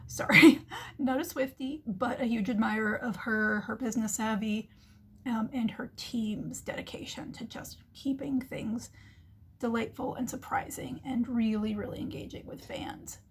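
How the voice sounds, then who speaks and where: A woman's voice: a little high, steady tone, measured pace, a little hiss or hum. A woman giving casual, chatty explanatory business commentary as the host, acting out little imagined remarks as she goes. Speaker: host of a marketing commentary show.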